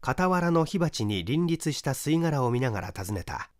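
A man reading a literary mystery as dramatised narration, in a formal, measured storytelling manner. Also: mid-range voice, sing-song, no echo, clean studio sound. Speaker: audiobook reader, stage actor.

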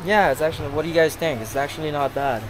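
A man's voice: fairly high pitch, fast. A man in his thirties with an American accent, chatting casually and haggling as a vlogger at a market stall. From United States. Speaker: vlogger and customer. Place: busy covered market stall. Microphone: handheld vlog camera held by him. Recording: noisy market background.

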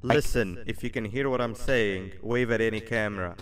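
A man's voice: lowish voice, moderate pace, a little hiss or hum, lightly acted. An actor playing an anxious, urgent man who watches the player through security cameras in a video game. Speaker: unseen game character.

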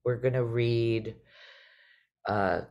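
A middle-aged woman hosting a casual online conversation, speaking reflectively and haltingly over a video call. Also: extremely low pitch, fairly even intonation, dull audio. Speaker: host, artistic director.

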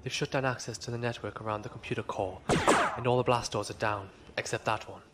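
A man's voice: medium pitch, steady tone, fast talker, background noise, close to an everyday voice. An actor playing a gloomy, reluctant space pirate crewman with a dry, grumbling manner in an audio drama.

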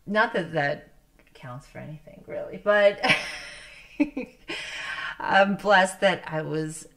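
A middle-aged woman whose first language is Polish, introducing music as a concert host in a gentle, reflective, conversational way with halting phrases. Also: lowish voice, creaky. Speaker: pianist hosting a livestream concert. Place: indoor candlelit room, seated at a piano.

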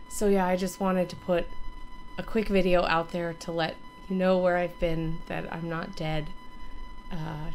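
A hoarse woman in her thirties, still recovering from illness, gives a rambling, casual channel update to a webcam from her home gaming room. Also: fairly low pitch, darkish tone. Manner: rambling, many ums and restarts. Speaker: gaming streamer and YouTuber.

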